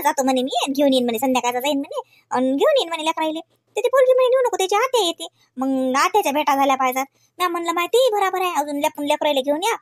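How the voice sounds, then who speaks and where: Woman playing a fast, rambling village grandmother, Varhadi accent. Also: high-pitched, dry recording, studio quality. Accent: Varhadi Marathi. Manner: rambling, folksy, retelling conversations. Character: talkative, fussing village grandmother, mother of grown son. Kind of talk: homemade comedy cartoon dialogue.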